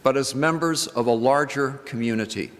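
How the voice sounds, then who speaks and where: An older man speaking as a minister in a reflective church address, with deliberate, halting phrasing and pauses for emphasis. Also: medium pitch. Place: large indoor church sanctuary. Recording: reverberant church hall with background noise.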